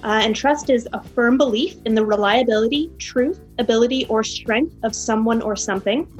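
Woman on a podcast chatting, kinda high voice, laughs a lot, pokes fun at herself. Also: normal speed, over music. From United States. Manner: warm, laughing, self-deprecating. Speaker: podcast guest. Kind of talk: casual podcast chat.

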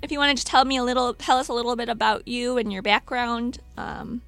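A woman's voice: fairly high pitch, fairly even intonation, fast, vocal fry, fairly bright tone, no echo, soft background music. A woman hosting a casual podcast interview, asking questions in a friendly, chatty way with many fillers. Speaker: podcast host.

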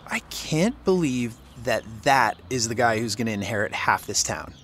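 Sardonic young male reporter, dry and restless, mid pitch, audio drama with ambience behind. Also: sing-song, moderate pace, fairly bright tone, slight background noise. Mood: frustrated. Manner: dry, frustrated, wry. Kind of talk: naturalistic audio drama dialogue.

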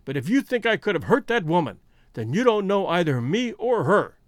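A fierce American criminal in a storybook, acted by a male reader, defiant and lovesick. The voice is pitched high and swings widely, bitter and emphatic, in a dry close recording. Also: big pitch swings, moderate pace, no echo. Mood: defiant despair.

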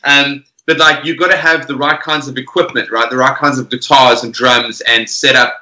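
A man's voice: medium pitch, moderate pace. A man in casual conversation about music, talking eagerly and often tagging his points with "right?". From South Africa. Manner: energetic, often tags "right?". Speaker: podcast guest musician. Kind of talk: casual chat. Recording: echoey room.

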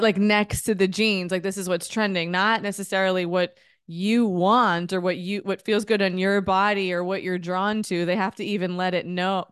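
Woman in her thirties, podcast co-host, earnest and animated, clean voice in a dry space. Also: medium pitch, clean tone, no room sound. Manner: earnest, animated, talks in long runs. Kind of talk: casual podcast chat.

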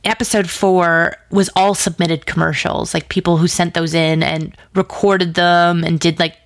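A woman's voice: on the low side, little pitch movement, unhurried. A woman being interviewed about creative work, speaking informally and effusively in tumbling asides. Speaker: interview guest, audio drama writer and producer. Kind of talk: casual interview chat.

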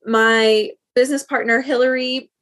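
Earnest South African woman, higher voice. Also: steady tone, moderate pace, a bit bright. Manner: earnest, thoughtful, with many restarts. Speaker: guest, speech language pathologist. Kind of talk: interview answers.

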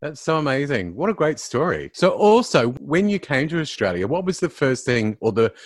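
Male interview host. Animated, swooping pitch, close and dry sound. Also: normal speed, dry recording. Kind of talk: scripted guest introduction and interview questions.

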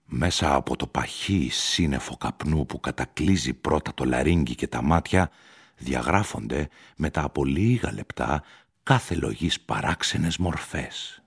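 Very deep-voiced man narrating a story. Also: animated, vocal fry, breathy edge. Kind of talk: literary radio narration of a short story. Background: music.

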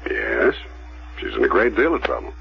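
An actor playing a steady, self-assured police detective who questions and narrates in a measured, terse way in a 1970s radio drama. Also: male voice, on the low side, creaky, slightly rough, full character voice. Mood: probing. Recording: dull, noisy vintage 1970s radio recording.

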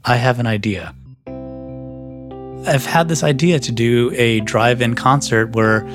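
A man's voice: mid-range voice, measured pace, music in the background. A man from Chicago, an artist and designer, talking at length in an interview with many fillers. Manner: chatty, lots of fillers. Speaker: guest, artist. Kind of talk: interview talk.

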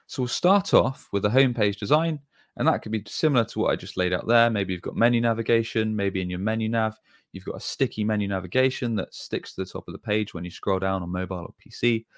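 Low-voiced man explaining step by step. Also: fast talker, dry recording, clean studio sound. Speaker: web design agency presenter. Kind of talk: explanatory tutorial talk.

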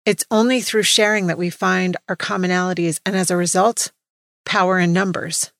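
Low-pitched woman narrating, close dry sound. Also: fairly even intonation, normal speed, creaky, a bit bright, no echo. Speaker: podcast host introducing stories. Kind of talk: scripted podcast narration.

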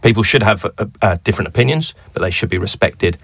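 Deep-voiced hesitant man on a podcast. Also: animated, quick pace, fairly bright tone, husky, dry recording. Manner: hesitant, many repeated words. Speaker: guest, bank sales director. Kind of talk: podcast interview.

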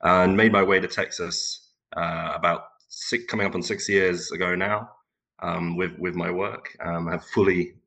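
Halting, deep-voiced man with a Turkish first language. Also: fairly even intonation, gravelly, fairly bright tone, husky. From England. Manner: halting, often repeats words while thinking. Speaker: podcast guest. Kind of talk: casual interview conversation.

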